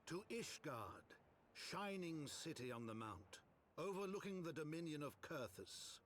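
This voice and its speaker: An actor playing a solemn storyteller, narrating an epic fantasy game in a grand, measured manner. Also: male voice, a little high, sing-song, noisy background. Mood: grand, proud. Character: solemn male storyteller chronicling fantasy heroes.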